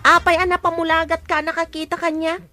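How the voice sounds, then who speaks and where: A woman's voice: very high voice, level tone, quick pace, pushed and bright, soft background music. A woman playing an indignant, defensive village woman with an Ilocano accent, exclaiming in a radio comedy drama.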